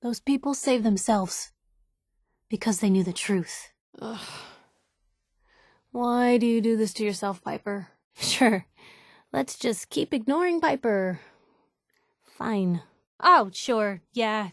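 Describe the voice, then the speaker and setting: A woman's voice: a little high, animated, slow pace, pauses often, no room sound, clean studio sound, full character voice. A woman playing a feisty young female reporter in a video game, sardonic but vulnerable, talking in a wry, emphatic, conversational way. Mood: stern, exasperated. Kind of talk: expressive video game dialogue acting.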